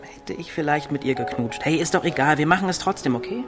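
A man's voice: fairly high pitch, fast, music in the background, natural voice. A man playing a cocky, mischievous teenage village boy with an offhand, conspiratorial manner in a radio drama. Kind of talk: naturalistic radio drama dialogue.

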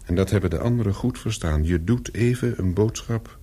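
Husky, low-voiced calculating young spy. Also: male voice, normal speed, mellow. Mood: brisk, instructing. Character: calculating young male spy, persuasive, looking back as narrator. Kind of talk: radio play dialogue and first-person narration.

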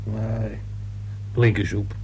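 Radio play caretaker: mellow gravelly voice, tired, colloquial, gossipy grumbler; noisy, humming old recording. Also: male voice, on the low side, flat delivery, takes it slowly. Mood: gossipy, sly. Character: weary, grumbling, gossipy male apartment caretaker. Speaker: actor in a radio serial. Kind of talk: Dutch radio thriller acting.

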